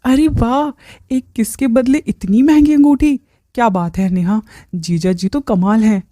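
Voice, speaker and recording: High-pitched greedy young sister-in-law voiced by a woman. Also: a little slow, mellow, dry recording, clean studio sound, close to an everyday voice. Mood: excited. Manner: eager, wheedling, exclaiming.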